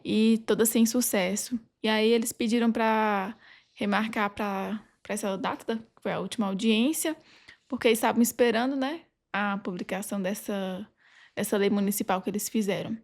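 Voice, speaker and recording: A Brazilian woman with noticeable vocal fry and an average pitch answers as a podcast guest. Her talk is conversational and halting, built up in short pieces. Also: steady tone, darkish tone. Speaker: legal adviser, podcast guest.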